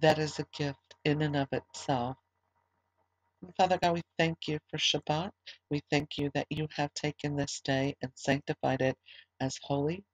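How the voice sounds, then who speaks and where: Slightly hoarse woman leading an online service. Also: low voice, steady tone, normal speed, no echo, poor audio. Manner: conversational, with fillers and restarts. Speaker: ministry leader. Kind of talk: online service announcements and prayer.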